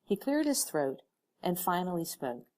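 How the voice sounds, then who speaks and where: A woman narrating a fan fiction audiobook in an expressive storytelling manner. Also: fairly low pitch, unhurried. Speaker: audiobook reader. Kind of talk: scripted narration of fan fiction, with character dialogue.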